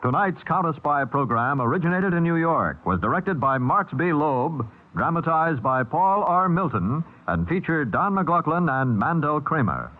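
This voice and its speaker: Male announcer, highish, lively swooping delivery of show credits, thin vintage broadcast sound. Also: moderate pace. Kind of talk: 1950s radio credits announcing. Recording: tinny old radio broadcast.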